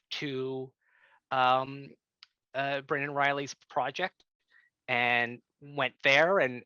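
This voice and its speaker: A young man from Canada, a tenant organizer, speaking on an online workshop call in an earnest, halting way with many fillers and restarts. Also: medium pitch, bright, forceful tone, dry recording. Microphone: computer mic on a video call. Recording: dull, slightly lo-fi video call audio.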